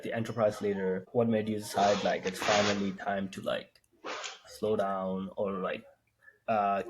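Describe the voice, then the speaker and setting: Low-pitched male interviewer, hesitant filler-heavy questions, faint noise behind. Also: a little mellow, rough recording. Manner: rambling questions full of "like" and "uh". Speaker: podcast host.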